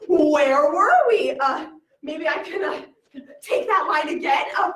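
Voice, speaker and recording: A woman playing a self-important female cartoon voice actress in a comic stage monologue, with theatrical swings in pitch and loudness. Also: expressive, takes it slowly, heavily acted. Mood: diva. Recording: roomy, from a distant mic.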